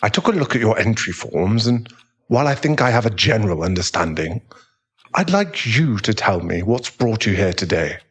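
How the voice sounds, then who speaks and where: A man playing a calm, tactful therapist in an audio roleplay, speaking in a measured, formal way. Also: on the low side, husky, heavily acted. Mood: calm, reassuring.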